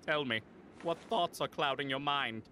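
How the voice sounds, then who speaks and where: A man voicing a calm, sly, ominous genie-like attendant in a cartoon parody dub. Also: medium pitch, normal speed, faint music behind, natural voice.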